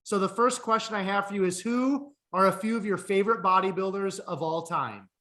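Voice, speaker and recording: A man hosting a podcast, asking his guest questions in a friendly, steady way. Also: high voice, fairly quick. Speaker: podcast host. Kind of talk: interview questions.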